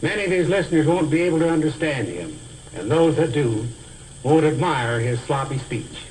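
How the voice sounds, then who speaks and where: A man giving a scripted instructional lecture as a speech teacher, in a deliberate, carefully enunciated, didactic manner. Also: a little high, animated, a little mellow, poor audio. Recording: hissy old educational film soundtrack.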